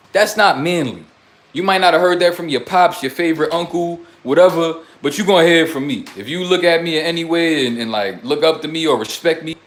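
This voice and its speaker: A young man casually livestreaming to his chat, talking in loose streetwise slang, blunt and preachy, rambling from one topic to the next. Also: fairly high pitch. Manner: rambling, drifts between topics. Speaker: self-styled life coach.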